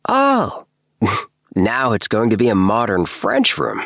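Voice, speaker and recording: A man voicing an eager, excitable house-obsessed gentleman with a breathless, effusive tenor in a storybook reading. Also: on the low side, takes it slowly, gravelly, dry recording, clean studio sound, pitched above the performer's own voice, heavily acted. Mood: excited. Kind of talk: storybook character dialogue.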